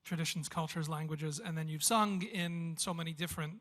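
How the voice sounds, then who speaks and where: A man's voice: fairly high pitch, steady tone, a little fast, fairly bright tone. A man interviewing a singing group, who often restarts his questions partway through and rambles as he asks them.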